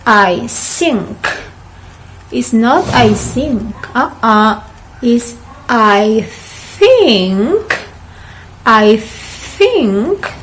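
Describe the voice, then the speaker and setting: Woman, fairly high voice, pronunciation lesson, repetitive deliberate teaching, slightly noisy recording. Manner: deliberate, repeats words slowly for learners. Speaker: online English teacher. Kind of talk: pronunciation drill for students.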